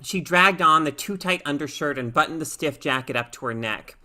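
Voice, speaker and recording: Woman reading a short story out loud, bright voice, careful storyteller delivery. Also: low voice, lively intonation, fairly quick. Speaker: story reader. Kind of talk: expressive audiobook-style narration of fiction.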